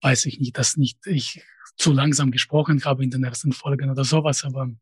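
Husky man with a Russian accent. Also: mid-range voice, little pitch movement, brisk, no room sound. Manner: hesitant, restarts phrases, direct. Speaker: professor and YouTuber, interview guest. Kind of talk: conversational interview answers.

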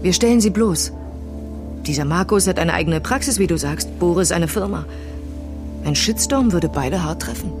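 An actress playing a middle-aged woman in a naturalistic audio drama, determined and quietly vengeful, speaking in a cool, controlled way. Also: on the low side, animated, moderate pace, over music. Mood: cold, resolute.

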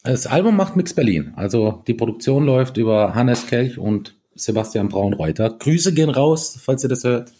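A man from East Tyrol, a band musician, talking casually in an interview, relaxed and chatty with many fillers and restarts. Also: mid-range voice, moderate pace, few pauses, breathy edge. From East Tyrol, Austria.

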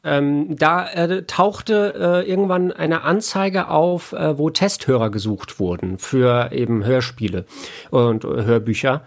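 A man chatting casually as an interview guest, halting often with filler sounds as he explains. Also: fairly high pitch. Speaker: interview guest, audio editor and producer. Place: home studio, remote connection.

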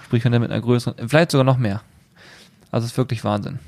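A man co-hosting a podcast in a relaxed, rambling chat, full of enthusiasm. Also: medium pitch, rapid-fire, no room sound. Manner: relaxed, rambling, enthusiastic about grilling gear. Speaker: podcast co-host.

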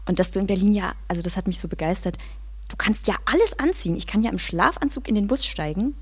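A woman's voice: medium pitch, lively intonation, fast talker, husky. Woman chatting casually in an interview. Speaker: interview guest. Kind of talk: casual conversation.

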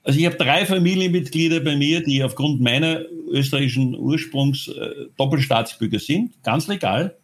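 A man from Austria, telling his own story in an interview, halting often with fillers and restarts. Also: fairly high pitch.

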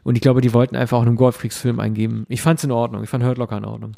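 A man co-hosting a podcast, chatting casually and explaining things at length in a relaxed way. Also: mid-range voice, very fast, creaky. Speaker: podcast co-host. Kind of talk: casual chat.

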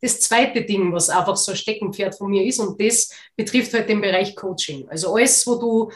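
A woman with an Austrian German accent, a motivational coach who talks in a lively, energetic way in a casual interview. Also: mid-range voice, normal speed. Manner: lively, energetic, laughs easily. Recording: roomy echoey recording.

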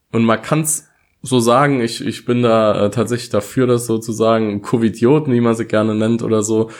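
A man co-hosting a podcast, talking about his views in an informal, conversational way. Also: medium pitch. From Saarland, Germany. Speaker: podcast co-host. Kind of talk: casual political podcast talk.

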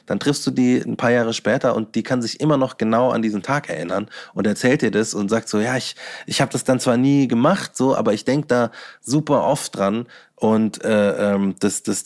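Man, mid-pitched, casual interview talk, youthful slang, halting, animated. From Bavaria, Germany. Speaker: guest, hip-hop DJ and workshop teacher.

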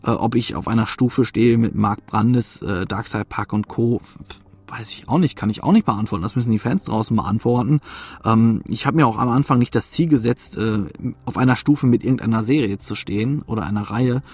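A man's voice: medium pitch, a little fast, a little mellow, slightly rough. A man speaking as an interview guest, talking off the cuff and searching for words. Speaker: audio drama producer, interview guest. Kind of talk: unscripted interview talk.

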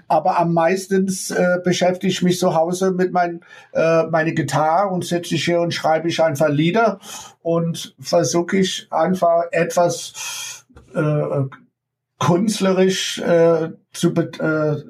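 American guy, middle-aged, slightly high voice, halting german with lots of ums and little laughs. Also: fairly even intonation, a little mellow. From United States. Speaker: guest, ballet teacher. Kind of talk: casual interview over remote connection.

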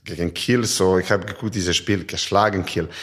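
A handball player in a podcast interview, speaking halting non-native German with many fillers, originally from Montenegro. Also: male voice, sits low, fairly even intonation, a bit bright, breathy edge. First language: not German.

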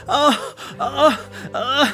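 A man's voice: very high-pitched, steady tone, very slow, sharp tone, voice pushed up, full character voice. A man playing a timid, boring accountant in an audio drama, stammering, pleading and crying out in helpless panic. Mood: pleading, terrified.

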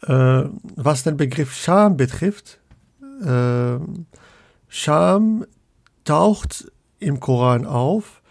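Slow, gravelly-voiced male scholar. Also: fairly high pitch, lively intonation, darkish tone, no echo, studio quality. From Morocco. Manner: hesitant, many fillers and restarts. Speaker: university scholar of Islamic theology, guest. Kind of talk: podcast interview.

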